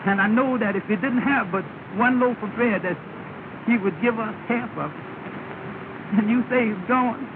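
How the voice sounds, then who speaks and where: An actor playing an earnest, humble Southern cab driver in an early 1930s film comedy, with a folksy, plaintive, deferential manner. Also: male voice, high-pitched, normal speed. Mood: grieving, earnest. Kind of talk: 1930 early sound film comedy acting. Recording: scratchy, tinny, noisy 1930 film soundtrack.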